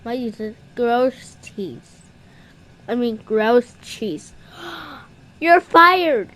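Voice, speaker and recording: A woman improvising playful puppet show dialogue in an excitable, exclamatory way. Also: a little high, drawn-out words.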